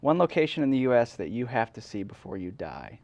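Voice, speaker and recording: A man's voice: medium pitch, big pitch swings, fairly quick, creaky, a little mellow, no echo. A man co-hosting a show in casual, joking conversation. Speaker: podcast co-host. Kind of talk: casual comic chat.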